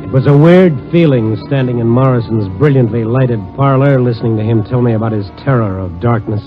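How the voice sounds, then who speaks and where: An actor playing a wry, tough but sympathetic investigator, with clipped, sardonic delivery in 1950s radio drama style. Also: male voice, mid-range voice, brisk, music in the background, natural voice. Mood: reflective. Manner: sardonic, clipped, hard-boiled. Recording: dull old radio recording.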